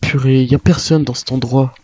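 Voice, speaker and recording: Man, mellow voice, wary survivor role-play, video game, muffled roomy recording. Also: mid-range voice, fairly even intonation, brisk, husky. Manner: speaks in character as a wary survivor. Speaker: player role-playing a zombie apocalypse survivor. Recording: muffled lo-fi mic, roomy echo.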